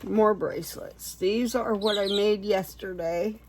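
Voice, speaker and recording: Female craft vlogger, hoarse voice, slow casual chat while working, some background noise. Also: medium pitch, fairly even intonation. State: hoarse, losing her voice. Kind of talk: casual chatty bead craft tutorial.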